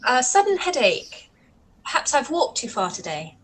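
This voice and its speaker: Woman playing a proud young regency lady, high voice, measured and pointed, period radio drama. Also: sing-song, slight background noise, rough recording. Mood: guarded. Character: spirited, proud young Regency gentlewoman, composed but sharp. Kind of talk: radio play live reading.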